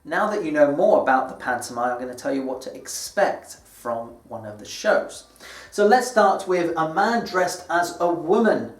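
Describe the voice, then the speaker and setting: A British man speaks as an English teacher, presenting to learners in a deliberate, explanatory way. His voice sits a little higher than most men's. The room rings with clear echo, and he sounds somewhat away from the microphone. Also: expressive. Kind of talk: scripted educational presentation to camera. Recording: echoey room, distant microphone.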